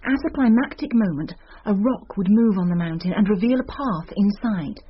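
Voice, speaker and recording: A teasing, confiding schoolmistress in a radio play. Her voice is husky and very lively in pitch, on a slightly muffled recording. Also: normal speed. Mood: storytelling. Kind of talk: radio drama acting.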